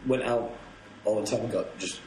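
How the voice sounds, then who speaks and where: Low-voiced man telling rowdy stories. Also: fairly even intonation, normal speed, a little mellow. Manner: rambling, laughs through his stories, swears freely. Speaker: touring musician guest. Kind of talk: casual podcast storytelling. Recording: roomy echo, faint noise.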